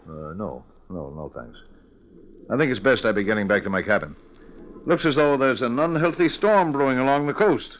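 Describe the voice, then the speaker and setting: An actor playing a sensible, reassuring ship's captain in a 1940s radio drama, brisk and courteous, on a noisy muffled recording. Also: male voice, mid-range voice, expressive, close to an everyday voice.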